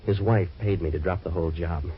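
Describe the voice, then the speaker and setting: An American actor playing a tough, wisecracking waterfront troubleshooter in a 1940s radio noir, with clipped deadpan delivery. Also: male voice, deep voice, quick pace, breathy edge. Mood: dry, pressing. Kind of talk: 1940s radio noir acting and first-person narration. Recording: dull old radio recording, faint music.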